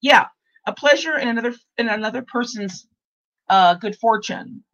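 A woman's voice: fairly high pitch, animated, normal speed, fairly bright tone, muffled recording. A woman in her sixties hosting a casual talk show, rambling and repeating herself with many restarts. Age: 60s. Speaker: host. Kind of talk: casual podcast chat.

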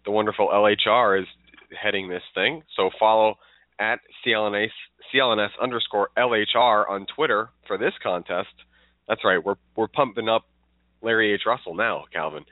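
A man's voice: on the low side, fairly even intonation, moderate pace, no echo. A stuffed-up man co-hosting a casual sports podcast, rambling with restarts. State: stuffed up, congested. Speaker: podcast co-host. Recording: tinny phone-like dry sound.

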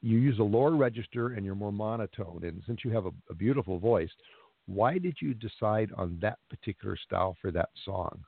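A man hosts a radio music program, asking his guest questions in an easy, conversational way. His voice sits fairly low and sounds dry and close. The sound is thin and tinny, like it is coming over a phone line. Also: animated, a little fast, creaky, husky, dry recording. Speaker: radio show host. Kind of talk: radio interview.

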